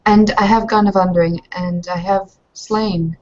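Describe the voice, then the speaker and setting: A woman speaking haltingly and casually as a player in a tabletop roleplaying game, on a dull recording. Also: mid-range voice, steady tone, a little mellow.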